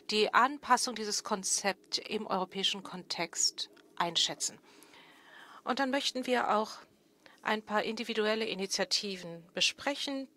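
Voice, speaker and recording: Woman from germany presenting on a big stage, bright voice, a few self-corrections, mid pitch. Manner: steady explanatory, occasional fillers and self-corrections. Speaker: panel moderator and researcher. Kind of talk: conference presentation. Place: large conference stage. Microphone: stage microphone at a lectern.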